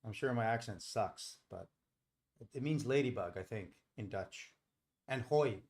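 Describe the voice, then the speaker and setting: Middle-aged man, livestream host, informal, rambling explanations, medium-pitched voice. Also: animated, a little slow, darkish tone. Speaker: live stream host and trader. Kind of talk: casual live-stream chat.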